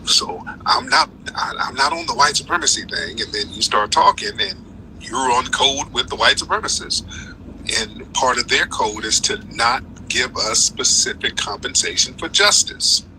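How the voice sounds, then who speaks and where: A man runs a heated live call-in show. He talks over his guest and stacks up quick "no, no" denials. His voice has a very bright, cutting edge, and faint noise hums under it. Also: mid-range voice, big pitch swings, low-quality recording. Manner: forceful, interrupting, repeats "no, no". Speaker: live stream host.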